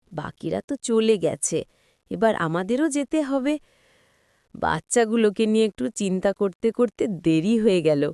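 A woman voicing a bossy, excitable village farm woman in a cartoon, nagging and exclamatory. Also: mid-range voice, clear, resonant tone, dry recording, studio quality, close to an everyday voice. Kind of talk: cartoon voice acting.

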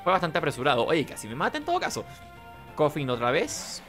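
A man's voice: on the high side, wildly swooping pitch, fairly quick, a bit bright, soft background music. A man giving casual, rambling let's play commentary, talking to himself. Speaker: gaming host.

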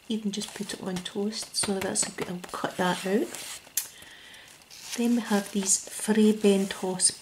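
A Scottish woman speaking ASMR in a soft, gentle whisper close to the mic, at a table indoors. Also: medium pitch, slight background noise, low-quality recording. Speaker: ASMR creator.

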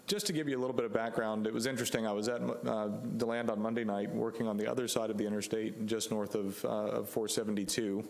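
A man in his forties with laryngitis, presenting a zoning application as an attorney at a council chamber podium mic. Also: medium pitch. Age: 40s. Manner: measured, many fillers. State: hoarse from laryngitis. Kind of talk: formal public presentation. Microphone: podium microphone.

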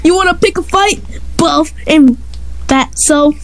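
Slow, high-pitched arrogant gym bully acted by a woman. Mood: mocking, boastful. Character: arrogant buff male gym bully, mocking and childish. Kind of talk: amateur animated skit acting.

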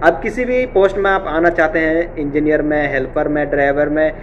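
A man's voice: a little high, steady tone, fairly quick, lo-fi sound, a little hiss or hum. A young man hosting a job-vacancy channel, explaining things casually and repeating reassuring phrases, recorded in an indoor office room on a webcam. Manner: repetitive, reassuring, frequent filler "theek hai".

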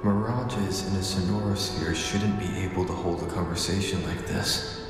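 An actor playing a calm, focused young wanderer hero, musing inwardly in a measured way in a video game. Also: male voice, low voice, over music, close to an everyday voice. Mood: pensive, focused. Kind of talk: video game inner monologue.

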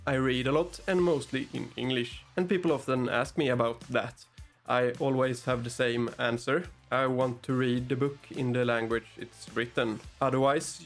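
A man in his thirties whose first language is Swedish, hosting a miniature painting tutorial with deadpan, sarcastic asides, recorded in a small hobby room on a mic in front of him. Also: medium pitch. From Sweden. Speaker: miniature painting channel host. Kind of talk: dry, joking tutorial narration.

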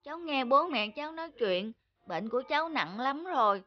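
A woman voicing a gentle, anxious young schoolboy in a cartoon, speaking politely and earnestly. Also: high voice, expressive, a little fast, muffled recording. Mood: fearful. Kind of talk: cartoon dubbing.

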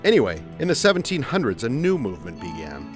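A man's voice: medium pitch, fast, soft background music. A middle-aged man acting an upbeat father who earnestly explains things in a family audio drama. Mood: earnest, explanatory. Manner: explanatory, lively.

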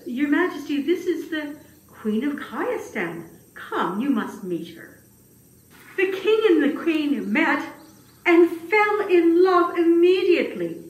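A woman voicing a humble, fretful old man who tells his puppet-show tale in a dramatic, hesitant way. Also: fairly high pitch. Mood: courteous. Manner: slow, dramatic, hesitant telling with wailing exclamations. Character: humble, fretful old male grass cutter, puppet storyteller. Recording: distant, echoey room with faint noise.

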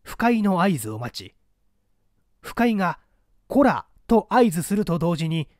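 A man's voice: high voice, very animated, measured pace, darkish tone, dry recording, clean studio sound. A man narrating a literary story as a scripted audiobook, in a formal, precise, dramatic manner.

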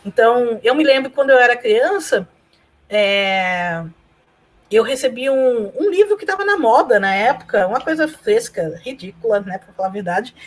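A talkative woman from Argentina, Spanish her first language, with a fairly high voice. She rambles warmly through memories, laughing often and checking in with little tags. Recorded over a video call from a room at home. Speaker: guest, professor and blogger. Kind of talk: casual interview chat.

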